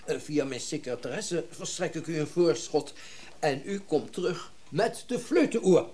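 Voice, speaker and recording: An actor playing a wealthy, self-important businessman and collector in a Dutch radio drama, brisk and commanding. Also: a little high, animated, darkish tone, close to an everyday voice. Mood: eager, commanding.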